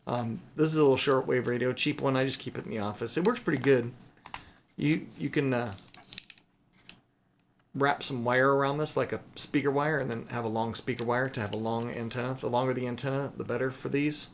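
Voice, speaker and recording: A man's voice: medium pitch, steady tone, a little fast. Casual man rambling over background noise. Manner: informal, rambling, thinking aloud. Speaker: hobbyist video host. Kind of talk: casual unscripted chat to viewers while showing items.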